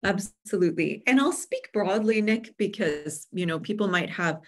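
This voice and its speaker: A woman in her forties speaking as an expert guest on a video-call webinar, explaining things in a measured, instructive way. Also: mid-range voice, expressive, slight background noise. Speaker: guest expert, book publisher. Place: indoor room with bookshelves, on a video call. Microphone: video call microphone.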